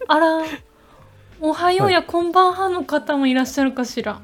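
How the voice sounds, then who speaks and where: A woman's voice: extremely high pitch, voice pushed up. A woman voicing a genteel, quirky comic lady who introduces herself with affected politeness on a talk radio show. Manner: polite, affectedly ladylike announcing. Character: genteel, quirky comedic lady guest, self-styled ordinary commoner.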